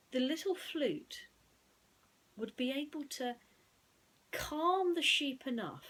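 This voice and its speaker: A woman storyteller narrating a bedtime story, gently explaining things as if to young listeners. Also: high voice, rough recording.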